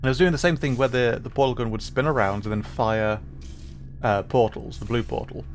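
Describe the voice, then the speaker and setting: A man's voice: medium pitch, a little fast, slight background noise. A man streaming a game live, explaining level design in an enthusiastic, rambling way. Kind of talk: live gameplay commentary.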